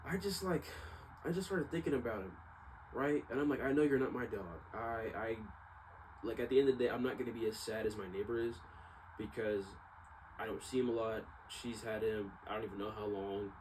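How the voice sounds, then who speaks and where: A man's voice: mid-range voice, brisk, a little mellow, low-quality recording. A man talking casually to viewers at home in a rambling, slangy, hesitant and reflective personal monologue. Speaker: vlogger. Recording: clearly audible background noise.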